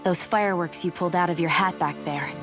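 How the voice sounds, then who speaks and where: A woman's voice: lowish voice, fast, music in the background, natural voice. An actress playing a cool, impatient young warrior woman in a video game cutscene. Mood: cool, probing. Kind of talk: dramatic video game voice acting.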